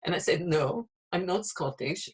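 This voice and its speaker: Older woman, husky breathy voice, wry and emphatic, reminiscing. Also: lowish voice, expressive, normal speed. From born in Palestine. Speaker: interviewee. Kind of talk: personal reminiscence.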